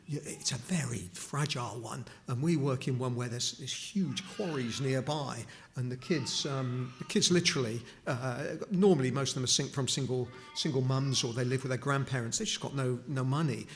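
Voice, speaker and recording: A middle-aged man from Newcastle, giving an informal charity talk to a church congregation with many restarts and asides. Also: mid-range voice. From Newcastle upon Tyne, England. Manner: conversational, with frequent restarts and asides. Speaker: charity speaker at a chapel.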